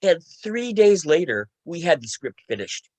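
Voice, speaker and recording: A man's voice: fairly high pitch, big pitch swings, moderate pace, darkish tone, no room sound. A man talking casually on a panel as a co-writer, often repeating himself and restarting phrases as he recounts a creative process. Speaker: radio play co-writer and panelist.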